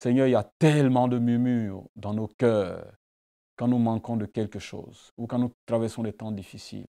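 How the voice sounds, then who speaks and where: A man's voice: medium pitch, fairly even intonation, normal speed, gravelly, dark tone. A man, a pastor whose first language is not French, gives a devotional sermon and prayer with emphatic repetition and rhetorical questions. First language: a language other than French.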